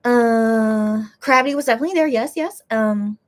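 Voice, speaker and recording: A woman's voice: on the high side, moderate pace. A woman casually telling a story on a live stream, reading viewer comments aloud and rambling with many restarts. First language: English.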